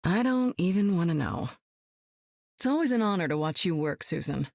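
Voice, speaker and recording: A seasoned woman superspy with a cool, wry, self-assured delivery. Her voice sits a little low and is recorded close and dry, with no room around it. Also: animated, normal speed, gravelly, a little mellow, no room sound. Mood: warm, amused. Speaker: game hero. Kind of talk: video game voice lines.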